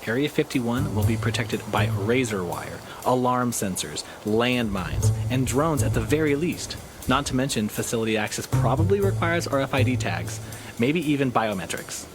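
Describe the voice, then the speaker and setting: A man's voice: mid-range voice, expressive, moderate pace, lightly acted. Reluctant, skeptical helper played by a man, mid-range voice, wry and cautious, audio drama with music behind. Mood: cautionary.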